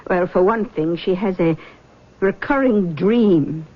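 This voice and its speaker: A woman's voice: fairly low pitch, slow. An actress playing a fretful, insistent, superstitious mother in a radio drama, with an earnest, fussing, theatrical delivery. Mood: worried, insistent. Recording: muffled old radio broadcast.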